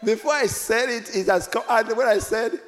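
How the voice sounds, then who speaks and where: A man from Africa preaching a sermon, dropping to whispers and rising to loud exclamations, laughing as he tells a story. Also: very high voice, moderate pace. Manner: laughs while telling a story.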